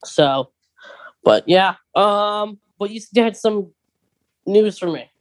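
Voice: medium pitch, animated, slow pace. A podcast co-host chatting casually and conversationally about fandom news, often putting questions to a partner.

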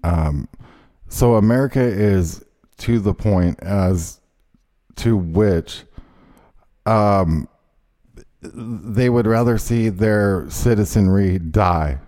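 Brief: male host, rambling indignant rant, low and mellow voice. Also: very slow, long pauses. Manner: rambling, indignant, many fillers. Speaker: show host. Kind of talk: ranting casual chat.

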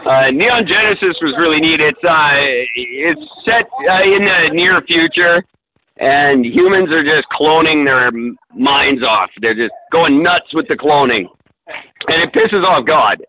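A man's voice: fairly high pitch, animated, measured pace, sharp tone, low-quality recording. A man in casual podcast chat, talking in a rambling, self-deprecating way with many fillers and restarts. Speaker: podcast co-host.

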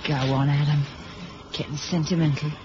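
A woman's voice: low voice, little pitch movement, moderate pace, natural voice. An actress playing a feisty, teasing woman, companion to a spy in a 1970s radio drama. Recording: dull, noisy old radio recording.

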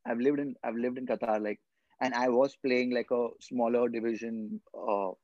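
A young man from India speaks earnestly in a casual podcast chat, often circling back and repeating words while he explains. His voice sits at an ordinary middle pitch. The recording is dry and a little dull. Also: fairly even intonation, moderate pace, a little mellow, no room sound. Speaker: guest footballer.

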